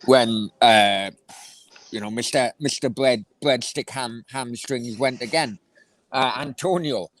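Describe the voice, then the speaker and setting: A man's voice: mid-range voice, takes it slowly, a bit bright, dry recording. A man with a South Wales accent hosting a casual football chat, rambling with many restarts. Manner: rambling, stumbling restarts, laughs easily. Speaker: host.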